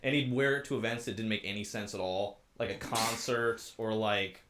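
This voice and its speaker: A young man in his twenties, a guest on a podcast, chatting casually and loosely with lots of fillers, speaking into a boom-arm studio mic. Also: normal speed, background noise. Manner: relaxed, filler-heavy storytelling. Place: dark studio, indoors.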